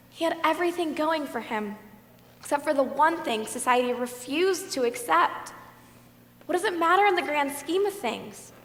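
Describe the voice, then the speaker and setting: An earnest, troubled mother on a jury, played by a woman on stage, speaks in a high voice. The sound carries a long hall reverb. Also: a bit bright. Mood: grieving, earnest. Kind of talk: amateur stage play monologue.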